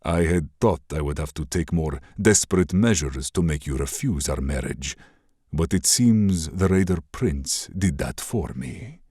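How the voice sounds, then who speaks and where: A man's voice: extremely low pitch, expressive, darkish tone, breathy edge, no echo, studio quality, heavily acted. A man playing a cold scheming warlord. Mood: menacing. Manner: slow, mocking and measured. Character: cold scheming warlord prince, male adult.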